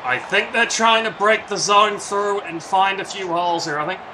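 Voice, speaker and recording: A man calling a video game football match as play-by-play commentator, building to shouts at big plays. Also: sits high, normal speed, noisy background. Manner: excitable, calls plays and shouts at big moments.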